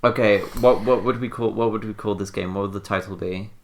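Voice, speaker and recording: A podcast co-host chatting casually and brainstorming in an excitable, rambling, halting way. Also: sits low.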